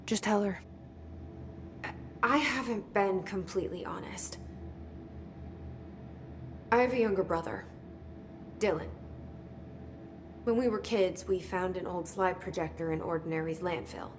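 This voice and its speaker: A woman's voice: fairly low pitch, a little slow, long pauses, slight background noise, close to an everyday voice. A woman playing a guarded, searching young heroine in a video game, restrained and confiding. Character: guarded, searching young woman hunting her lost brother. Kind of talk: video game cutscene acting.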